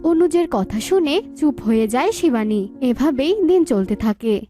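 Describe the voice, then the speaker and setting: A woman's voice: sits high, lively intonation, unhurried, over music. A woman reading scripted story narration.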